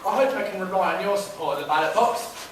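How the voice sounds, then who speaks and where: A man's voice: sits high, quick pace, lightly acted. A man playing a pompous, scheming candidate in a stage pantomime, with grandiose declamation. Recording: noisy echoing hall, distant mic.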